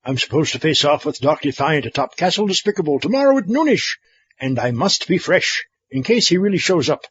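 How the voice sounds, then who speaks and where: A man playing a theatrical, self-important evil scientist with a rough, husky voice in a comic horror-host skit. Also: on the high side, big pitch swings, fairly quick, fairly bright tone, no room sound, full character voice. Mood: grandiose. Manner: pompous, melodramatic. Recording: muffled lo-fi.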